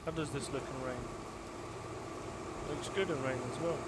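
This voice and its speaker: Man, slightly high voice, from Poland and Canada, casual gaming livestream host, matey, loud engine noise behind. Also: steady tone, moderate pace. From Poland-born, grew up in Canada. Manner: casual, often addresses viewers as mate. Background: truck simulator game sounds.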